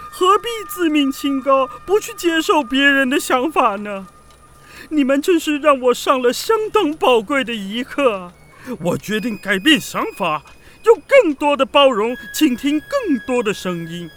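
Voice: high-pitched, a bit bright, heavily acted. An actor playing a pompous, sentimental ancient male poet as a contest judge in a children's radio drama, speaking grandiloquently. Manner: grandiloquent, savoring, prone to tearful rapture.

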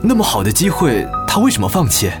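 Conciliatory young family man, lively intonation. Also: mid-range voice, fast. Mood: apologetic, earnest. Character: conciliatory, apologetic son-in-law, hardworking family man. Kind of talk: radio drama acting. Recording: music behind the voice.

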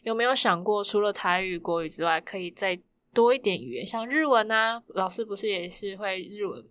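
A woman's voice: medium pitch, lively intonation, brisk, a bit bright. Chatty Taiwanese Mandarin woman interviewer. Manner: echoes and finishes the guest's phrases. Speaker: podcast interviewer. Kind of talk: casual chat interview.

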